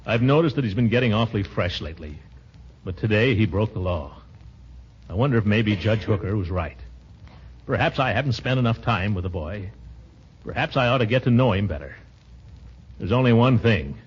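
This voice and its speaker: An actor playing a self-important, easily indignant middle-aged uncle and town official in 1940s radio comedy style, pompous and sputtering. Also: male voice, lowish voice, animated, brisk, pauses often, natural voice. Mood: musing. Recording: muffled, hissy 1940s radio broadcast.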